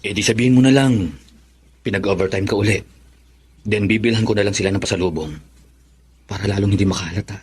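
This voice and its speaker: An actor playing a sweet-talking, indulgent boyfriend in a Filipino radio drama. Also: male voice, on the low side, fast, pauses often, darkish tone, husky. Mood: coaxing, amorous. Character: smooth-talking, indulgent boyfriend, a well-off suitor.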